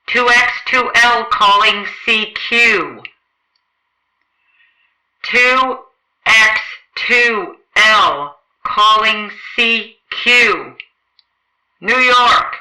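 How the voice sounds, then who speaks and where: A woman's voice: on the high side, pushed and bright, exaggerated voice. A woman playing a desperate lone radio operator in a radio drama, calling out repeatedly and pleadingly in an echoing space. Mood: forlorn. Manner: slow, repetitive, pleading call-out. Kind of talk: radio play acting.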